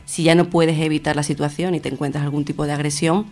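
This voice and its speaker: A woman's voice: sits low, level tone, quick pace, a little mellow, faint music behind. A young adult woman answering as an interview guest in spontaneous, somewhat hesitant phrases, searching for words. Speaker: interview guest, feminist activist.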